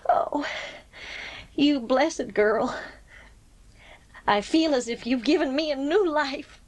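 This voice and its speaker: A woman's voice: sits high, slow, no room sound. A woman playing an older, stern but loving farm spinster in an audiobook drama, plain-spoken and heartfelt. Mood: moved.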